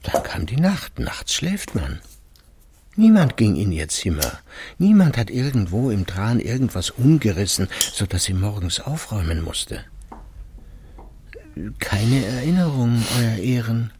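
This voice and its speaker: Slow, gravelly, deep weary husband. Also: male voice, very animated, darkish tone, a little hiss or hum. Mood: defensive irony. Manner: deliberate, wry, musing. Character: weary, secretive alcoholic husband, middle-aged intellectual, wryly self-justifying. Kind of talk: radio play interior monologue.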